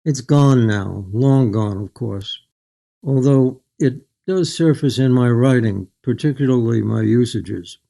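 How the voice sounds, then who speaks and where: An older man talks as an author being interviewed about his writing. His voice is soft-edged and mellow, sitting at an average pitch. He is measured and articulate, choosing his words with thought. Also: creaky. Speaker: guest author. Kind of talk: reflective literary interview.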